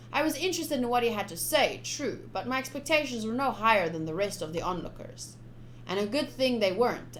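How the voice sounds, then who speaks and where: A woman's voice: mid-range voice, slight background noise. A young woman streaming a game, informal and chatty, switching between casual commentary and reading aloud.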